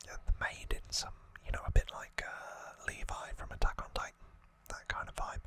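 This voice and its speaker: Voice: moderate pace, bright, forceful tone. An ASMR host whispering an unscripted story recap in a hesitant, slightly wry way.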